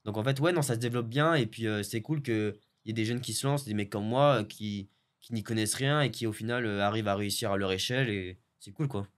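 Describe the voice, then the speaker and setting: A man's voice: lowish voice, fast talker. Young man, laid-back slangy chat. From French Guiana. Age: young adult. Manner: relaxed, slangy, many fillers and restarts. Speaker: video editor guest. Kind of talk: casual interview chat.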